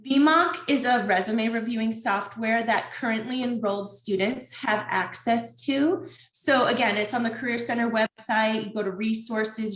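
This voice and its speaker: Woman explaining career services, echoey room. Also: medium pitch. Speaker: career center presenter. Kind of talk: online presentation. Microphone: video call.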